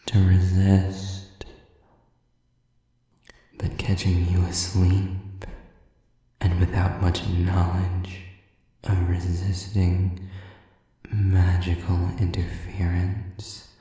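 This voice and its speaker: A man playing a sadistic, predatory demon in an audio roleplay, speaking intimately and deliberately with menacing seduction, often dropping into a whisper. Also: deep voice, creaky, mellow, very echoey, recorded from a distance, full character voice. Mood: silky menace. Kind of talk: audio roleplay addressed to the listener.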